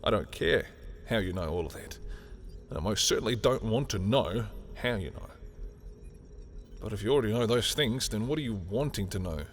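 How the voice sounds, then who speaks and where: A man's voice: on the low side, animated, moderate pace, soft background music, close to an everyday voice. A man playing a sardonic, petty villain in a scripted audio roleplay, talking in theatrical, sarcastic taunts. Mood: irritated, dismissive. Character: sardonic, petty male villain, grudgingly familiar with rival.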